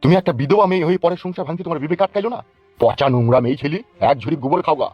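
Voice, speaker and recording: Man playing a fast, blustering village politician. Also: fairly high pitch, expressive, no echo. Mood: scolding, threatening. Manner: scolding, cursing, blustering. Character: angry foul-mouthed male village politician, bullying and threatening. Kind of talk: comic cartoon dubbing. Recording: dull lo-fi, music behind.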